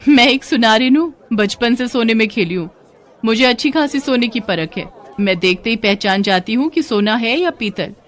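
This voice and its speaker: A woman's voice: fairly high pitch, lively intonation, moderate pace, some chatter behind, natural voice. A woman voicing a blunt, self-assured female goldsmith neighbour in a cartoon story.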